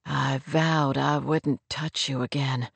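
Lady reader doing the romance novel hero, aching tender guy, low raw murmur, slow and pleading. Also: fairly even intonation, gravelly, breathy edge, dry recording, heavily acted. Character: lovesick man, anguished, pleading, tender. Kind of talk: romance audiobook character dialogue.

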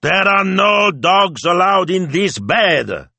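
A man voicing a grumpy, theatrical, gruffly affectionate grandfather in an audiobook story, blustering with indignant questions. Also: medium pitch, big pitch swings, normal speed, sharp tone, no room sound, pitched above the performer's own voice, heavily acted. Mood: mock outrage.

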